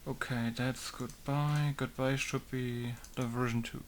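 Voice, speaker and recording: A man's voice: mid-range voice, steady tone, unhurried, a little hiss or hum. Man muttering while modding a game. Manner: thinking aloud, repeating test words. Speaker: game modder. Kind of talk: casual commentary while working.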